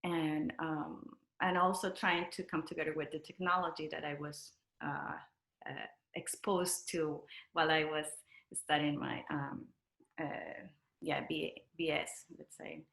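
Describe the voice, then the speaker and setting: A woman from Peru with a Peruvian Spanish accent, speaking casually and haltingly as she searches for words. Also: deep voice, flat delivery, vocal fry, a bit bright. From Lima, Peru. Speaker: interview guest, dancer and choreographer. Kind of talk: casual conversational interview. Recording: roomy echo.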